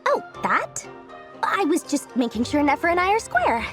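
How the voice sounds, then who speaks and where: A woman playing a brisk, proud businesswoman in a game dub, speaking in a breezy, assertive, matter-of-fact way. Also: high-pitched, huge pitch swings, a bit bright, faint music behind, exaggerated voice. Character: brisk, proud businesswoman and investor-minded merchant lady. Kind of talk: English video game dub acting.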